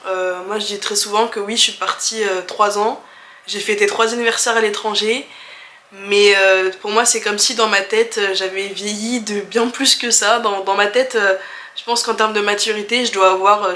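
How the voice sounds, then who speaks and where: A woman's voice: mid-range voice, sharp tone, roomy sound. A young woman from France in her twenties, answering viewer questions in a candid, chatty way with many fillers, restarts and easy laughs. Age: 20s. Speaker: travel vlogger.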